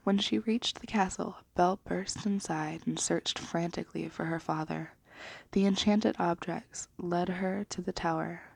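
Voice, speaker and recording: A woman reading a children's storybook in a gentle, hushed ASMR style, dropping into whispers. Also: on the low side, creaky, dry recording. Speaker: ASMR reader.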